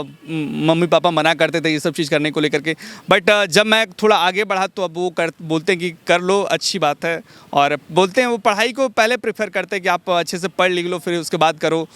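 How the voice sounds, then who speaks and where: A young man, giving polite, measured interview answers as a mimicry artist. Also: a little high, a bit bright, smooth. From Jharkhand, India. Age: young adult.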